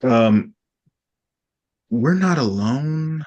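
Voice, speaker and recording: A man plays a smitten young guy in a sitcom table read. He is sheepish and halting, talking slowly, with a voice that swings widely in pitch. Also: expressive, a little mellow, muffled recording. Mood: smitten, hesitant. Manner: hesitant, halting delivery.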